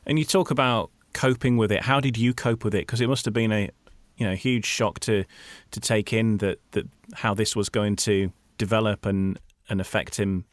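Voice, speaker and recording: A man with a fairly low voice interviews a guest for radio. He asks his questions in an informal, conversational way, and the sound is very dry with no room around it. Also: fairly even intonation, normal speed, hardly any pauses, no room sound.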